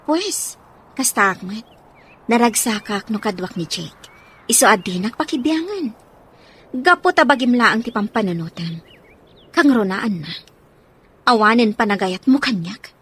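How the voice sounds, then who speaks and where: Radio drama wife, actress playing separated and guarded, highish voice, very animated delivery. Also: female voice, measured pace, long pauses, breathy edge, heavily acted. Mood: guarded, wounded. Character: wife separated from her husband, guarded and wounded. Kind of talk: Ilocano radio drama acting.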